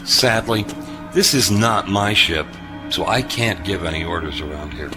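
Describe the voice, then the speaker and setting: A man playing a wry, composed spacefarer who speaks gravely and knowingly in a sci-fi audio drama. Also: low-pitched, unhurried, over music. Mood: firm, formal.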